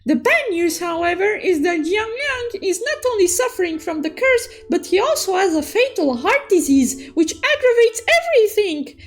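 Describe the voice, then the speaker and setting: A woman telling a game story recap as a childlike, excitable cartoon unicorn, playful and full of exclamations, with music behind her. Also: very high voice, normal speed, hardly any pauses. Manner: playful, exclamatory, cutesy third-person persona. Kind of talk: animated storytelling recap with sound-effect exclamations. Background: background music.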